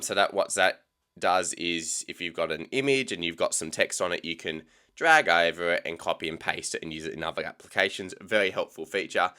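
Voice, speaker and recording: A young Australian man with an Australian accent and a low voice that sounds bright and crisp. He talks through a screen tutorial at an easy, casual pace, dropping frequent ums. The sound is close and clean, as from a desk mic. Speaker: tech tutorial host. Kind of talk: screen-recorded software tutorial.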